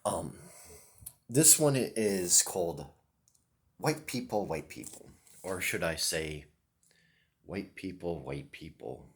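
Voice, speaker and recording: A man's voice: sits low, lively intonation, slow, pauses often, slightly rough. A man, talking to the camera in a loose, rambling monologue, full of ums and restarts. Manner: hesitant, many ums and restarts. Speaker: vlogger.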